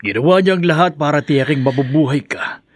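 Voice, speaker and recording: An actor playing a father calmly pleading for understanding. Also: fairly high pitch, fairly quick, no echo, clean studio sound, natural voice.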